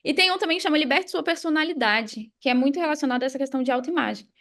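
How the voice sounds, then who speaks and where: A woman's voice: high voice, rapid-fire, fairly bright tone. A Brazilian woman speaking as a podcast guest and coach, explaining things in a casual conversational way. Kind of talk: casual explanatory interview talk.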